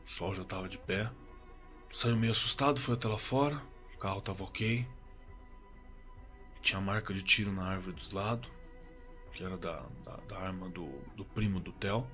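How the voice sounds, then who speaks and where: An actor playing a shaken Brazilian man giving first-person horror testimony in an audio drama, halting, repeating words and swearing colloquially. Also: deep voice, sharp tone. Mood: uneasy, haunted. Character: shaken man recounting a terrifying camping encounter. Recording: suspense music under the voice.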